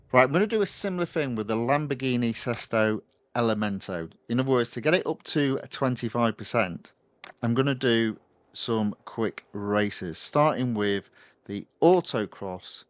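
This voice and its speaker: A man giving relaxed live commentary on a racing game and chatting with viewers. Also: mid-range voice, unhurried, dry recording. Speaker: gaming livestreamer. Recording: voice with constant game engine noise. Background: racing car engine sounds from the game.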